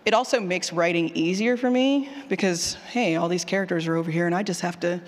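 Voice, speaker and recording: A speaker giving an informal conference talk on writing, with animated asides and questions repeated back to the audience. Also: mid-range voice, a little fast. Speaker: presenter, fiction podcast writer. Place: conference session room. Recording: hall with long reverb.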